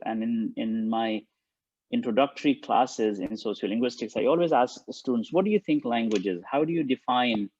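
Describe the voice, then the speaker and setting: A man giving a linguistics lecture on a webinar, from India, talking haltingly with many ums and restarts. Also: mid-range voice, a little mellow, no echo. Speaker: guest speaker, linguistics professor. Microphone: video call. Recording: dull lo-fi dry sound.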